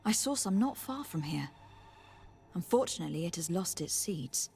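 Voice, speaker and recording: A woman playing a courteous, inquisitive noblewoman in a fantasy video game, formal and thoughtful, with a husky voice. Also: on the low side, lively intonation, normal speed, a little mellow, faint music behind. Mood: thoughtful, composed. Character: courteous, inquisitive young noblewoman envoy and adventurer. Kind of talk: video game dialogue acting.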